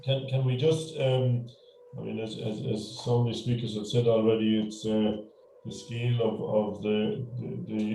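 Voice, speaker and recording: An Irish man hosting a local history meeting over a video call, speaking haltingly with many fillers and restarts. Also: on the low side, little pitch movement, mellow, roomy sound, slight background noise, low-quality recording. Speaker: meeting host. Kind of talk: hesitant moderating on a video call.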